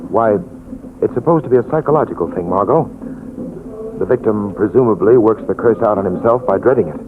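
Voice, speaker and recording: A haunted gentleman acted by a man in a 1940s radio chiller. His voice is low, earnest and hesitant, heard through a narrow, noisy vintage broadcast recording. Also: animated, brisk, natural voice. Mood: grave, weary. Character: haunted, uneasy gentleman fearing a voodoo curse.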